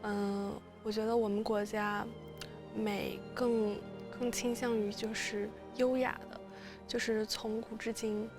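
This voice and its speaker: Young woman from china, 21, slow and flat, lots of pauses. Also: mid-range voice, music in the background. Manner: slow, thoughtful, with many pauses. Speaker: interviewee. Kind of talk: documentary interview.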